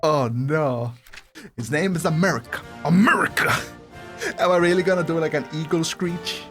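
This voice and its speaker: A woman's voice: sits low, moderate pace, faint music behind. A woman giving casual game commentary as a streamer, playful, giggly and sweary. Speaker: gaming streamer.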